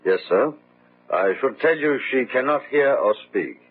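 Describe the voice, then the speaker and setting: An actor playing a polite, hesitant hired manservant in a radio drama, deferential in manner. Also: male voice, mid-range voice, animated, a little slow, husky. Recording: tinny, phone-like old radio sound.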